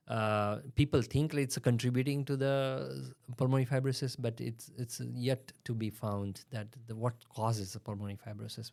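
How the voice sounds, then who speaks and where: A man, a lung transplant doctor, speaking hesitantly with frequent pauses as he explains. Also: mid-range voice, a little mellow. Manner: hesitant, many fillers and restarts. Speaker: lung transplant physician guest. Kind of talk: podcast conversation.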